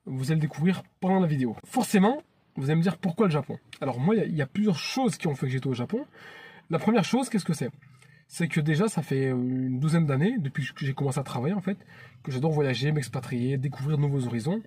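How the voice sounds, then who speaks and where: Man in his 30s, mellow and slightly husky, talks to his channel in a casual, heartfelt way. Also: a little high, lively intonation, quick pace. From France. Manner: earnest and chatty, often restarting phrases. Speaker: YouTube channel host. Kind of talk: casual vlog monologue.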